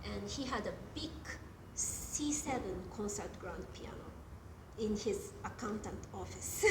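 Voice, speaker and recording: A woman with a Japanese accent, a guest jazz pianist, talking casually in an interview and pausing often on ums and uhs. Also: mid-range voice, animated, noisy background. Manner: hesitant, many ums and uhs. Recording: echoey room with music behind.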